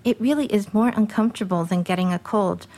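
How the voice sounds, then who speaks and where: A woman's voice: mid-range voice, a little fast, dark tone. Female, councilor, out of breath, tired, interview soundbite. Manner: tired, matter-of-fact. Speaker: city councilor. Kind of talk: news interview clip.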